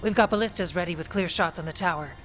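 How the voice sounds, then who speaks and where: An actress playing a frank, weary warrior officer in a video game, speaking in a plain, businesslike way. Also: female voice, on the low side, quick pace, fairly bright tone, close to an everyday voice. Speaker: Warden officer giving battle briefing. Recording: music behind the voice.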